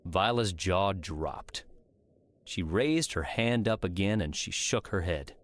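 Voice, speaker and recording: A man's voice: sits low, takes it slowly. A man reading scripted story narration as an audiobook narrator.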